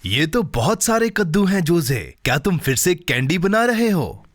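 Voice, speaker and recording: A man's voice: a little high, big pitch swings, moderate pace, no echo, studio quality. A man voicing a friendly, upbeat companion in a children's cartoon, speaking encouragingly in simple phrases. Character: friendly, upbeat adult companion and contest announcer.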